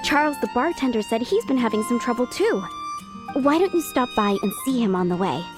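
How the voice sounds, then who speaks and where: Cast: a woman voicing a polite, earnest townswoman, fairly high-pitched, faint game score. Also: lively intonation, fairly quick, natural voice. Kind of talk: game voice acting. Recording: game audio with faint music.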